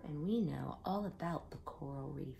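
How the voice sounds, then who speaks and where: A woman reading a story aloud to young children, explaining simply with drawn-out emphasis like a teacher. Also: deep voice, gravelly, warm tone, slight background noise.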